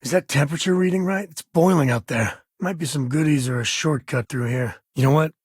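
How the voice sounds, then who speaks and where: An actor playing an easygoing, wry space cowboy and single father in a video game, husky and rough-edged with a folksy, conversational manner. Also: fairly high pitch, a little fast, no room sound, studio quality. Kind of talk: video game character dialogue.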